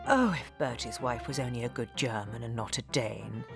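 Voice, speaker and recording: An actress reading the letters of a grieving, earnest middle-aged widowed queen in an intimate, measured, emotive way. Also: extremely low pitch, gravelly. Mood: troubled. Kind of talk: dramatic reading of journals and letters. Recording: music behind the voice.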